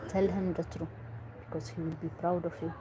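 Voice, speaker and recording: A woman's voice: fairly low pitch, little pitch movement, a little fast, background noise. A woman from Eritrea, earnestly giving parenting advice in English and repeating herself for emphasis. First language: Tigrinya or other Eritrean language. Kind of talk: earnest talk to camera.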